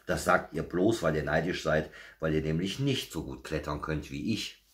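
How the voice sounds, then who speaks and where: A man's voice: a bass voice, moderate pace, slight background noise. A man voicing a boastful, curious young boy bear in a children's storybook reading, exclaiming proudly. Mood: scornful.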